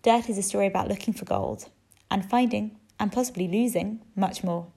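Female podcast host, mid-pitched, creaky fry, scripted announcement delivery. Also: a little fast, mellow. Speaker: podcast host introducing a show.

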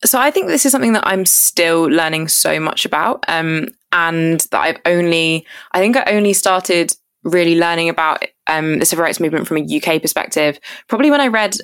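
A woman's voice: deep voice, fairly quick, few pauses, fairly bright tone, dry recording, clean studio sound. A woman in her twenties with a southern English accent, talking in an earnest, rambling, casual way as an interview guest. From Surrey, England (born in Jamaica). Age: 20s. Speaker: podcast guest, activist and writer. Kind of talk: casual reflective interview talk.

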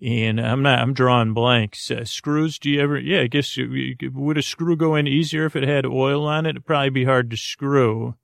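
A man with a creaky voice hosting a bedtime podcast, musing aloud in a hesitant, meandering way and circling back on words. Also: mid-range voice, fairly even intonation, moderate pace, hardly any pauses, dry recording, clean studio sound. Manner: musing, asks themselves questions. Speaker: podcast host.

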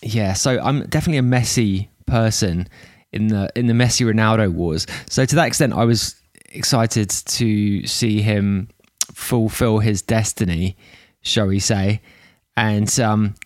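A man with an English accent hosting a podcast, slightly hoarse, rambling casually with restarts and fillers. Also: on the low side, unhurried, dry recording, studio quality. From England. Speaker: podcast host. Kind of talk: casual monologue intro.

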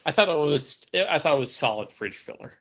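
A man's voice: fairly high pitch, expressive, brisk, vocal fry, a bit bright. A man hosting a podcast in a casual, chatty style. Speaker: podcast host. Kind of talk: casual chat. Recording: dull lo-fi audio.

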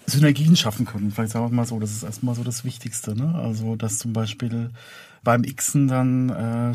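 A man with an average-pitched voice talks casually as a producer being interviewed, in an anecdotal style. The recording is dry and close, with hardly any room sound. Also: a little mellow, no room sound. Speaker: audio drama producer and composer.

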